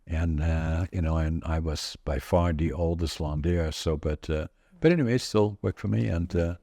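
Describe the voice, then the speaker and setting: A Dutch-accented man in his eighties hosts a relaxed podcast chat. His voice sits very low and mellow, and it sounds dry and close. He tells his stories in a halting, rambling way, stopping and restarting often. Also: dry recording. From Northern Netherlands. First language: Dutch regional dialect. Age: 80s. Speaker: podcast host. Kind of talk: casual conversational storytelling.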